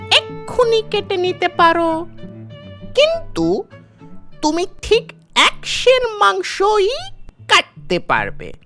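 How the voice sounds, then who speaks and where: A man voicing a clever, sly royal courtier in a cartoon, speaking deliberately and with emphasis. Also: very high-pitched, bright, forceful tone, full character voice. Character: clever male royal courtier, calm and sly problem-solver. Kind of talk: cartoon character acting.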